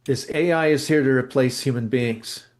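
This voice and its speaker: A man's voice: medium pitch, normal speed, a little mellow. A man co-hosting a casual podcast, rambling and blunt, often searching for words mid-sentence. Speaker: co-host, musician.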